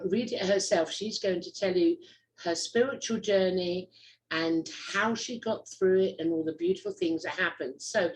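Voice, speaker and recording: A woman's voice: fairly low pitch, a little hiss or hum, rough recording. A middle-aged woman from the UK hosting a casual interview, effusive and rambling, with many fillers. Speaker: host.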